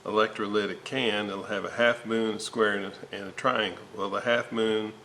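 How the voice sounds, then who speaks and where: A man giving an informal repair walkthrough at a workbench, halting and thinking aloud as he works. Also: lowish voice, fairly even intonation, sharp tone, poor audio. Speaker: host of a radio restoration video series. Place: indoor workbench, hands working on components. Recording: noticeable background noise.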